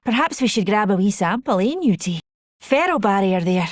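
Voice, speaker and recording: A woman's voice: medium pitch, big pitch swings, normal speed, no echo, clean studio sound. A woman playing a curious, keen scientist fighter in a video game. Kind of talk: video game character callouts.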